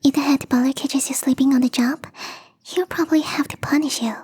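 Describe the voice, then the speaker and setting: A woman voicing a young catgirl gardener in an ASMR roleplay, teasing, coy and playfully smug, with a breathy, whispery voice. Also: sits high, steady tone, brisk, a little mellow, no room sound, natural voice. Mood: sly, mock threatening. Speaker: voice actress.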